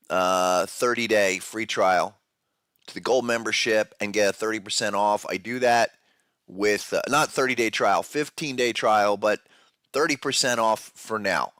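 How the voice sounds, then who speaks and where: A man hosting a live podcast about trading charts, talking in a casual, loose way with a head cold that deepens his voice. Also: steady tone, a little slow, a bit bright, clear, resonant tone, dry recording, clean studio sound. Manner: casual, loose, with fillers and restarts. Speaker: podcast host. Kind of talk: live-stream market analysis chat.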